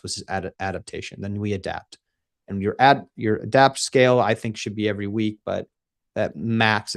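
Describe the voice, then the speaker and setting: A man in his thirties explains his coaching method on a radio show with an emphatic, teacherly cadence. His voice sits a little low, and he turns casual and easygoing with the host between points. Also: creaky. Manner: laughs easily, casual. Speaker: guest, coach. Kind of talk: radio interview.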